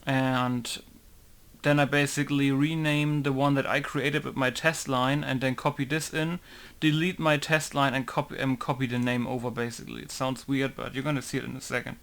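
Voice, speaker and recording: A man's voice: mid-range voice, flat delivery, normal speed, creaky, fairly bright tone. A man walking through a game modding tutorial, thinking aloud and rambling, often leaning on filler words like "basically" and "right?". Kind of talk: casual screen-recorded tutorial.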